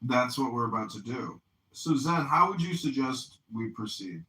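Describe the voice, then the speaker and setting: A man hosts a call-in podcast in a mocking, lecturing tone and drags out each question. His voice is bright and forceful. It sounds distant and roomy, as if the mic sits well away from him. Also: mid-range voice, lively intonation. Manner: lecturing, sarcastic, drawn-out questioning. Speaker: podcast host.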